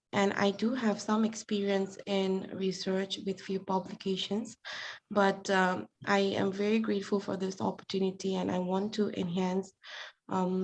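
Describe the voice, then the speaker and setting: A woman's voice: medium pitch, darkish tone. A woman from Nepal, a medical assistant, politely and eagerly introducing herself on a video call. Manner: polite and enthusiastic.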